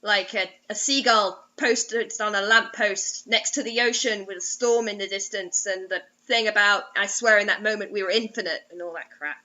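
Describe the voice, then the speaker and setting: A woman's voice: mid-range voice, normal speed, hardly any pauses, bright, forceful tone, lo-fi sound. A woman reading fan fiction aloud for comedy, breaking off into sarcastic, mock-dramatic asides.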